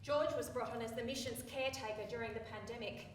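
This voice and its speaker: Casting: actress for chatty, earnest female stage devotee, higher register; distant, noisy theatre recording. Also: fairly even intonation, fast, heavy reverb, lightly acted. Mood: explanatory. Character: earnest, chatty female devotee, Moonseed, showing a newcomer around. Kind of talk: live stage play acting.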